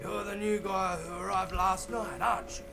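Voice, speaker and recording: An actor playing a cocky male camp guard in a video game, teasing a newcomer in a gravelly voice. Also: sits high, steady tone, moderate pace, bright, forceful tone, full character voice. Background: game music.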